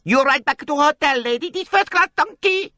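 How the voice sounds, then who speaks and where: A man voicing a pushy male street hawker who speaks broken English in a rapid sing-song patter. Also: very high voice, lively intonation, pushed and bright, dry recording, pitched above the performer's own voice, heavily acted.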